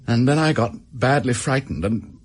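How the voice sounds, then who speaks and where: An actor with an English accent playing a desperate, bitter office worker making a halting confession in a radio drama. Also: male voice, mid-range voice, slightly rough, lightly acted. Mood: bitter, defiant.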